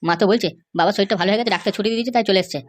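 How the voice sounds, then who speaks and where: A woman's voice: mid-range voice, racing, no echo, clean studio sound, natural voice. A woman voicing a dutiful, bewildered married young son in a comedy cartoon, earnest and questioning.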